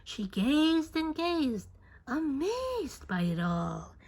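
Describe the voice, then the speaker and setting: A woman reading a children's book aloud as expressive rhyming narration, deliberate and singsong, drawing out words. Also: high-pitched.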